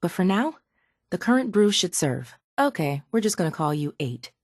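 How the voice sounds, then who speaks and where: Game role: sardonic, calculating female raider scientist, slightly low register, cool measured delivery, very dry studio sound. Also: big pitch swings, darkish tone, no room sound. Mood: businesslike, then clinical, cold. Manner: dry, measured, faintly condescending. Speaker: video game character voice actor. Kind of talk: scripted video game dialogue.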